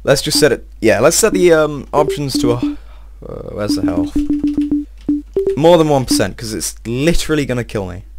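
Excitable man narrating his gameplay. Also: mid-range voice, moderate pace. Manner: excitable, swears often, talks to himself while playing. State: slightly strained voice. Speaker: gameplay video host. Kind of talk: casual let's-play commentary.

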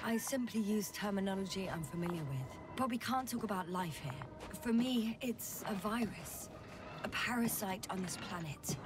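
A woman's voice: medium pitch, animated, normal speed, recorded from a distance, close to an everyday voice. Actress as analytical woman space scientist, music behind. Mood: analytical, composed. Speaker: scientist on an expedition. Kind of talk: dramatic video game acting.

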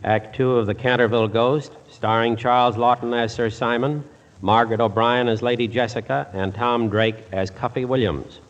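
A man's voice: mid-range voice, fairly even intonation, normal speed, heavy background noise. A man giving scripted, dramatic narration in 1940s radio style. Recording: muffled old radio broadcast.

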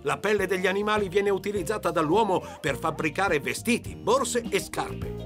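A man's voice: high voice, normal speed, music in the background, natural voice. A man voicing an earnest, didactic panda professor delivering a lecture in a children's cartoon. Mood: earnest, grave. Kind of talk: dubbed cartoon acting, lecturing.

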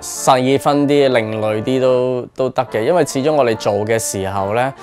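A man's voice: medium pitch, moderate pace, a little mellow, soft background music. A man in his forties with a Hong Kong Cantonese accent, talking casually and reflectively in an interview in a small studio. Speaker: radio drama writer and performer being interviewed.

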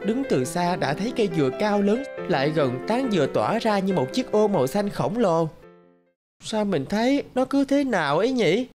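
A woman's voice: mid-range voice, very animated, normal speed, music in the background, lightly acted. A woman voicing a sheepish little schoolboy in a cartoon, fretting to himself in a childlike, hesitant way. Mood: puzzled. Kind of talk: cartoon dialogue and inner monologue.

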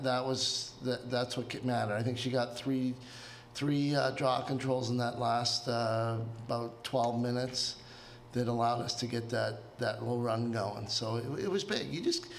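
A middle-aged man, a head coach, answering reporters at a post-game press conference into a table microphone, measured and hesitant with many fillers. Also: medium pitch, a little hiss or hum. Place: press room before a sponsor backdrop.

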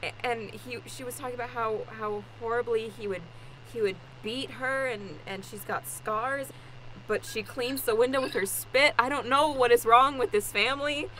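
A woman's voice: on the high side, moderate pace, bright, forceful tone, slight background noise, rough recording. An actress playing an anxious young woman on the run, stammering and rambling in an improvised radio play. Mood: agitated, rambling. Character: Zoe, frazzled anxious young woman fugitive. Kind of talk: improvised old-time radio play acting.

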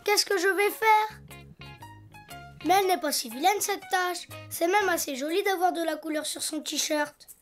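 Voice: very high-pitched, moderate pace, soft background music. A performer voicing a cheerful, imaginative little boy donkey in a children's cartoon, chatty and childlike. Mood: cheerful, busy. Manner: childlike, talking to himself, expressive.

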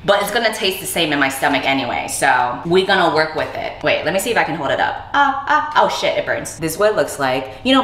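A woman's voice: sits low, a bit bright. A young woman chatting casually about books while cooking at home, in a blunt, irreverent, slangy way. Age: young adult. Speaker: book reviewer and vlogger. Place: indoor home kitchen. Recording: roomy echo, clear background noise.

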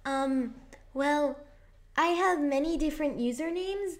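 A young woman putting on a cute, high anime-girl voice, hesitant and giggly, with many fillers. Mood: sugary. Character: cutesy high-pitched anime-style girl voice. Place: quiet room at night.

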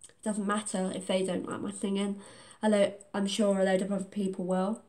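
A woman's voice: medium pitch, level tone, fairly quick, a little mellow. Stuffy-nosed teen girl in an echoey room. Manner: chatty, self-deprecating. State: congested from a cold. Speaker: vlogger singing a cover. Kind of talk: casual chat and a cappella singing. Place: living room at home, indoors.

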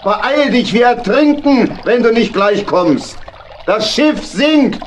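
A man's voice: very high voice, animated, takes it slowly, slight background noise, heavily acted. A man playing a plucky badger adventurer in a children's audio drama, calling out urgently. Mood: desperate.

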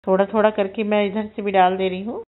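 Female cooking-channel host, calm and instructive, talks through every step as she cooks. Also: medium pitch, fast talker, phone-quality sound. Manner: calm, instructive, narrates each action as she does it.

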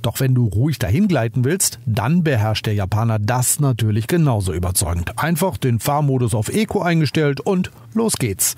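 A man with a slightly husky, mid-pitched voice narrates a scripted car review. He keeps it chatty, speaking directly to the listener. The sound is dry and close, with no room around him. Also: lively intonation, moderate pace, hardly any pauses, a little mellow, no echo. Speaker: car podcast host.

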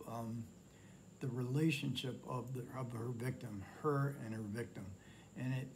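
A man's voice: medium pitch, a little mellow, slight background noise, poor audio. A man in his sixties giving a casual film review at home, speaking with many fillers and restarts. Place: home living room, indoors.

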